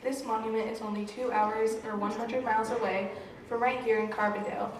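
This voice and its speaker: A woman's voice: on the high side, little pitch movement, a little fast, a little hiss or hum. A young-sounding student presenter, a woman, giving a scripted talk to an audience. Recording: very echoey room, distant mic.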